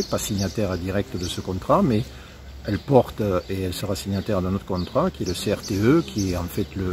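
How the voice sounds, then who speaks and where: A man speaking as a local elected official in an on-site interview, explaining in a semi-formal, halting way. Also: low voice. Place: outdoors in a town park. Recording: clearly noisy outdoor ambience.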